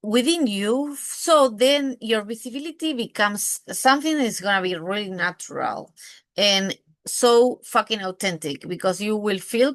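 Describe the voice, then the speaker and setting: An effusive woman with an Argentine Spanish accent leads a live online masterclass as a brand mentor. Her pitch sits in a middling range, and she swears freely while checking in with tag questions. The sound is dry and close, with no room echo. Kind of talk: live masterclass talk to camera.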